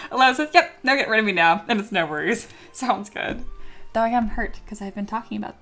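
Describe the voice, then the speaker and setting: A young woman streaming live and chatting with viewers in a bubbly, excitable way, laughing often and reading chat messages aloud. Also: medium pitch, normal speed, pauses often, soft background music. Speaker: streamer, 3D sculptor. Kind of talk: casual livestream chat with viewers.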